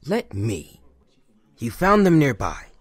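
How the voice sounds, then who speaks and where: A man's voice: mid-range voice, huge pitch swings, slow, breathy edge, exaggerated voice. A man playing a stern, exasperated military officer in a comic dub. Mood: irate.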